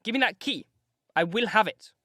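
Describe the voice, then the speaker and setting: Male reader playing a bold young woman, higher voice, pleading but defiant. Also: expressive, normal speed, pushed and bright, no echo, clean studio sound, heavily acted.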